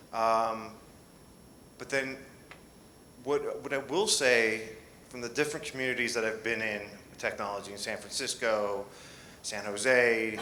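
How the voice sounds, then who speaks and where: A man's voice: on the low side, sharp tone, roomy sound, a little hiss or hum, rough recording. A man answering questions on a conference panel, speaking with many fillers and restarts.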